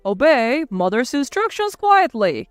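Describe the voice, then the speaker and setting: Firm, blunt male relative read by a woman, smooth voice with lively swings, for a family drama audio story. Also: high voice, unhurried, dry recording, soft background music. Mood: stern, commanding. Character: narrator's son and husband, stern blunt males.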